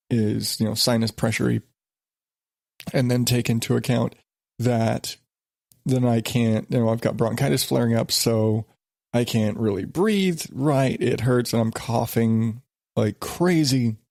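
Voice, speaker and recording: Male podcast host, congested, rambling and exasperated, mid-pitched, dry booth sound. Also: a little mellow, dry recording. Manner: rambling, exasperated, many restarts. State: stuffed up with a sinus infection. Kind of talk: casual solo podcast.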